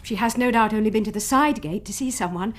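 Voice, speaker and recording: An actress playing a polite, uneasy young niece in a Victorian radio drama, with a breathy voice. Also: female voice, mid-range voice, fast, slight background noise, lightly acted. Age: young adult. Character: dutiful, slightly flustered young Victorian niece. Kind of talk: BBC radio drama acting.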